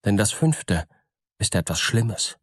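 A man voicing a sly, smooth-talking peasant trickster in a fairy tale, measured, knowing and teasing. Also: lowish voice, big pitch swings, no room sound, clean studio sound, full character voice.

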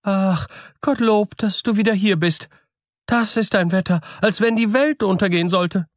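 A man voicing a miller's wife in a fairy tale, sweet-talking and falsely innocent in a plaintive falsetto. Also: normal speed, no room sound, clean studio sound, lightly acted. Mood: feigned relief.

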